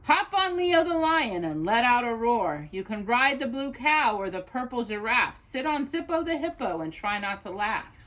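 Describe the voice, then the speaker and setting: A woman reading rhyming children's poems aloud as a storytime host, in an expressive sing-song way, as if to a child. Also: fairly high pitch, normal speed, few pauses, rough recording.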